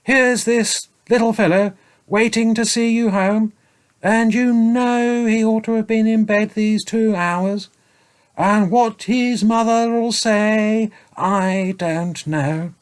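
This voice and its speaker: A man voicing a grown knight who speaks kindly but firmly, in a deliberate way. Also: high-pitched, fairly even intonation, clear, resonant tone, voice pushed up. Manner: firm, reassuring, deliberate.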